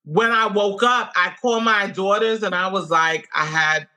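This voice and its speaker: A middle-aged woman from Guyana, a comedian guest telling her story in a lively, conversational interview manner. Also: normal speed. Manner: animated, wide pitch swings when quoting herself.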